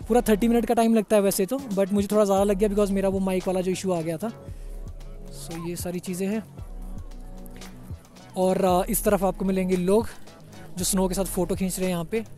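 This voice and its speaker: Chatty young man, high bright voice. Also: level tone, fairly quick, pauses often, faint music behind. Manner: chatty, says "yaar" a lot, mixes in English. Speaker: travel vlogger. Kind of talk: casual walking tour commentary. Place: outdoors on a busy hill-town street.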